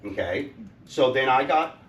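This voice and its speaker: A man with a loud voice questions the school board at a public meeting, insistent and trying to keep himself calm. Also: medium pitch, a little slow, muffled recording, a crowd in the background. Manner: loud and insistent, says he is trying to speak softly. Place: school district office lobby at a public meeting. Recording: roomy echo, distant mic, faint background noise.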